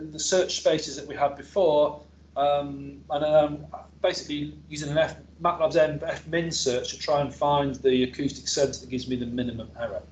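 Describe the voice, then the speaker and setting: A man's voice: a little high, slight background noise, poor audio. A male academic researcher presenting a technical seminar in an informal, explanatory way, with hesitations and self-corrections. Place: seminar room with an audience present.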